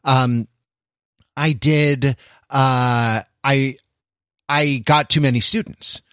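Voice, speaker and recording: An American man co-hosts a podcast in a casual, jokey register. He speaks at a middling pitch and wanders through asides as he goes. The sound is dry, with almost no room. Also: steady tone, extremely slow, fairly bright tone, clear, resonant tone, no echo. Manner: digressive, jokey. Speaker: podcast co-host. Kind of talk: casual chat.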